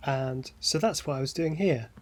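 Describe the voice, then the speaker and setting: A man's voice: mid-range voice, moderate pace, a little mellow. A man speaking casually and hesitantly as a composer guest, with a self-deprecating manner. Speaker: guest, composer and sound designer.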